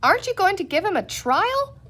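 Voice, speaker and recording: A woman's voice: very high voice, very animated, brisk, bright, forceful tone, pitched above the performer's own voice, heavily acted. A woman voicing an anxious, sensible young girl in a children's story, speaking earnestly. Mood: worried, urgent.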